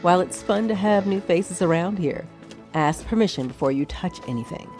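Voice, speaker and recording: A woman playing the imperious mistress of a wizard's tower in a tabletop game, brisk and drily menacing. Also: fairly low pitch, lively intonation, a little mellow, lightly acted. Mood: dry command. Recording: faint music under the voice.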